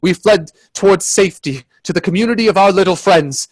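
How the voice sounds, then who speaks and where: A man playing an earnest, anxious gentleman companion in a radio play, sounding dramatic and grave. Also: sits high, no room sound. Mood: grave narration. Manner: earnest, hesitant in dialogue, measured in narration.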